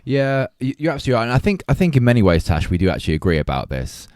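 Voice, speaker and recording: A man's voice: medium pitch, big pitch swings, racing, a little mellow, dry recording, clean studio sound. A man hosting a podcast, arguing his points emphatically in a conversational film debate. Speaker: podcast host.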